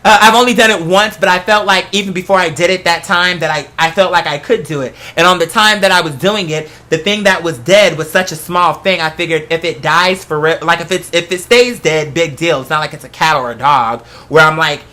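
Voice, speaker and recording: A host with a bright, forceful voice at a middling pitch talks casually and directly to followers. The delivery is candid and rambling, full of familiar slang, like an unscripted chat with friends. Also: a little fast, few pauses. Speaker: online show host. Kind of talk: casual conversational monologue to followers.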